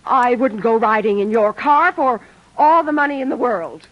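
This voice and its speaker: An actress playing a prim, indignant bookish young woman in 1940s radio drama style, with a breathy voice. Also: a little high, moderate pace, highs cut off, loud music behind the voice, lightly acted. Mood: scornful.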